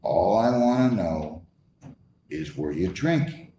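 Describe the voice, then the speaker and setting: Male judge, low mellow voice, stern and interrupting, questioning from the bench in an echoey room. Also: animated, takes it slowly. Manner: stern, firm, interrupts and repeats himself. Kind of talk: courtroom questioning and admonishing. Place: courtroom. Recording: echoey courtroom, faint background noise.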